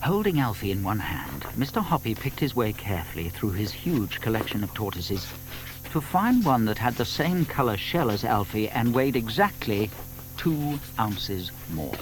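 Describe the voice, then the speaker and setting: A man narrating a children's story from a script, with deliberate emphasis. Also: on the low side, big pitch swings, rough recording.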